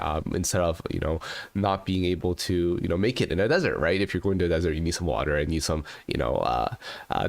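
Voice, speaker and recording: A man in his thirties, congested from a cold, chatting casually as host of a livestream, full of "you know" and "right?", from a living room with a webcam. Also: deep voice. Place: living room with a red sofa, indoors.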